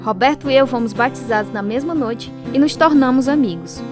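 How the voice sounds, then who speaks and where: A woman's voice: sits high, brisk, music in the background, close to an everyday voice. An actress with a Brazilian accent playing a devout, earnest young convert telling her story in a radio drama. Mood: warm narrating. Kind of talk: radio drama narration and dialogue.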